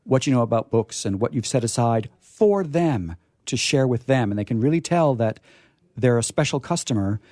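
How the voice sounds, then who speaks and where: A man hosting a radio program in a casual, chatty way, halting and often repeating his words. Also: medium pitch, lively intonation, mellow, no room sound. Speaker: radio host.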